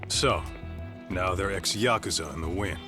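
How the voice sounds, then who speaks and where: A man playing a gruff, blunt ex-yakuza tough guy. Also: sits low, normal speed, lightly acted. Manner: blunt, streetwise, dismissive. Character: gruff tough male ex-yakuza in his thirties. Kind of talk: video game voice acting. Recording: music playing behind. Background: music.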